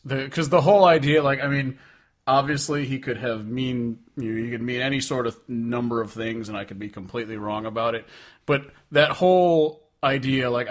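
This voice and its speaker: A man chats casually about music as a podcast co-host, with a mid-pitched voice. He rambles freely and leans hard on his points, swearing as he goes. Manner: profane, emphatic, rambling. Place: indoors, remote call between hosts.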